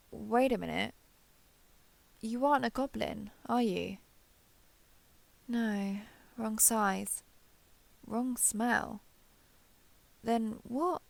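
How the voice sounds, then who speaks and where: Casting: vain, imperious female dragon played by a woman for audio roleplay; theatrical, formal, gravelly edge, close dry sound. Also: medium pitch, dry recording. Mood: triumphant, then puzzled. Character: grand, vain female dragon, menacing yet eager and socially clueless. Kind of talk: scripted audio roleplay addressed to listener.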